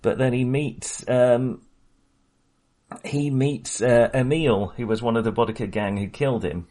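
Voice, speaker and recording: A man's voice: mid-range voice, a little slow, dark tone. A man co-hosting a podcast, chatting about a film in a rambling way, often restarting and laughing as he talks. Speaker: podcast co-host. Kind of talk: casual film discussion.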